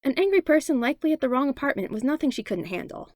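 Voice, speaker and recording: A woman reading fan fiction aloud as a podfic narrator, with expressive, animated storytelling. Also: high voice, fast, no echo, studio quality. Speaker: podfic reader.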